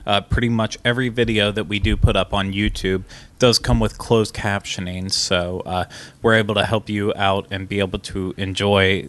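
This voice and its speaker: A man's voice: fairly low pitch, level tone, normal speed, fairly bright tone. A man co-hosting a podcast, talking frankly and conversationally with many filler uhs. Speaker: podcast co-host. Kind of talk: casual podcast chat.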